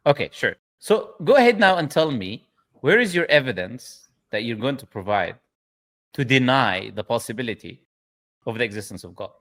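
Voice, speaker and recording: A man with a mid-pitched voice hosts a live debate. He argues in a conversational but insistent way, cutting in and restating his points. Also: big pitch swings, moderate pace, fairly bright tone. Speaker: host, religious debater.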